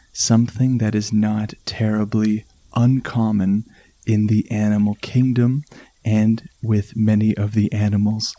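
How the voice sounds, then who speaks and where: A man with a Canadian accent hosting a relaxation podcast, talking in a soft, slow and soothing way. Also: lowish voice, no echo. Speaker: podcast host.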